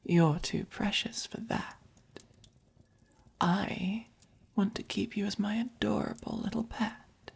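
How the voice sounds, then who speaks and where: A woman voicing a gentle, possessive, doting vampire in a soft-spoken ASMR roleplay, with an English accent and breathy, whispery, coaxing delivery. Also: mid-range voice, fairly even intonation, exaggerated voice. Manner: slow, coaxing, gently commanding. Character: gentle, possessive, doting dominant vampire keeping a human pet.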